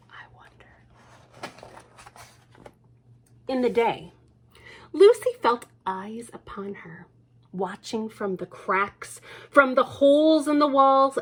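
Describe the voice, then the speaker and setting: A woman librarian hosting a children's storytime, reading a picture book aloud. She talks slowly and gently, letting her pitch roam widely, and slips into soft whispered asides to the young listeners. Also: breathy edge.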